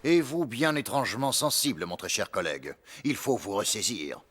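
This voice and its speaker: An actor playing a haughty, scheming nineteenth-century baron in a French cartoon, speaking in a formal, theatrical manner. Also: male voice, on the low side, animated, normal speed, fairly bright tone, breathy edge. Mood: cold, smug. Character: scheming, pompous baron, smooth villain of 1880s Paris. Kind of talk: French animated series acting.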